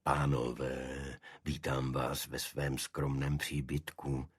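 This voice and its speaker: A man voicing a small, dignified aristocratic cult leader in an audiobook, with a deep voice and a monotone, chant-like delivery. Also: husky, dry recording, studio quality. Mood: courteous, formal. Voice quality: unexpectedly deep. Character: small energetic nobleman, cult leader, dignified, secretive zealot.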